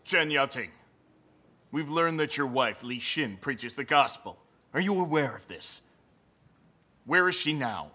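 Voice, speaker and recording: A man's voice: medium pitch, animated, measured pace, long pauses, sharp tone. A man playing a menacing, domineering police chief in a dubbed stage drama, commanding and interrogating. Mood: stern, threatening.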